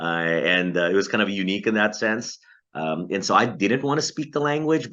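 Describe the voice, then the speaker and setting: A man's voice: low-pitched, a bit bright. A man, a pastor answering interview questions over a video call, thoughtful and hesitant with many fillers. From Philippines. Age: 40s. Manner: hesitant, many ums and uhs, laughs lightly. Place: at home in the Philippines. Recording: dull lo-fi video call audio.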